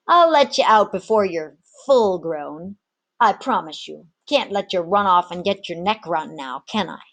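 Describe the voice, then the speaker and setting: A woman voicing a gruff male tavern barkeep in a storybook, threatening yet protective, talking in folksy old sailor dialect. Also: lowish voice, expressive, a little slow, fairly bright tone, exaggerated voice. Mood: gruff coaxing.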